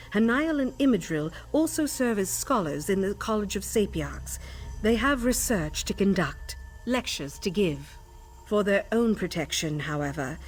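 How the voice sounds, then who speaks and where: A woman's voice: medium pitch, close to an everyday voice. An actress playing a stern, scholarly female elven sage in a video game, speaking formally and imperiously. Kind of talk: video game character dialogue.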